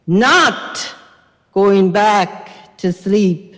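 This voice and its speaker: A woman playing a wronged, defiant Grenadian mother in a theatrical reading, speaking deliberately and repeating phrases like an incantation. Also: mid-range voice, lively intonation. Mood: solemn, defiant. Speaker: reader in a dramatized stage reading. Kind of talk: slow theatrical dramatic reading. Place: university recital hall stage. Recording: long hall reverb.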